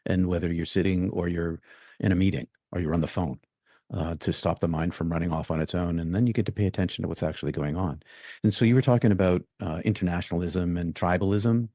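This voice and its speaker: A man from the United States, a guest in an interview, speaking reflectively and thinking aloud with many pauses and restarts. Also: low-pitched, steady tone, warm tone, dry recording, clean studio sound.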